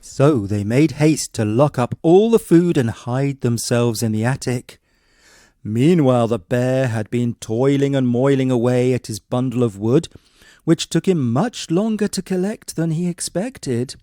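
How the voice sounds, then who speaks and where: Mellow male bedtime storyteller, dry sound. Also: mid-range voice, a little slow, no room sound. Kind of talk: scripted bedtime story narration.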